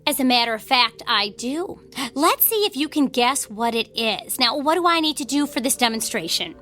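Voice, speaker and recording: Brief: vain chatty TV host, acted by a woman, high, lively, flirtatious, radio-drama style. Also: normal speed, full character voice. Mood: bubbly. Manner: flirtatious, giggly, then defensive. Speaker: morning TV show host. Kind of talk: old-time radio drama acting. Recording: radio drama with music underneath.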